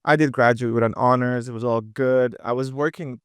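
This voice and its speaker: A lively young man from with a crisp voice. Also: medium pitch, no room sound, studio quality. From Dubai. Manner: energetic, quick, with many fillers and restarts. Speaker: podcast guest, entrepreneur. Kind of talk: casual interview chat.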